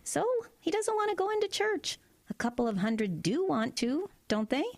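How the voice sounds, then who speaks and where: Skeptical doctor, expressive pitch, dry teasing wit, radio drama, close dry recording. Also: female voice, moderate pace, no echo. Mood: skeptical, reassuring. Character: wry, logical young woman doctor, teasing confidante. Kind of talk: audio drama acting.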